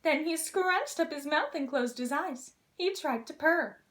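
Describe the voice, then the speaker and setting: A high-pitched woman narrates a children's story in the persona of a gentle princess. Her delivery is theatrical and expressive, made for a read-aloud. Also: a little slow.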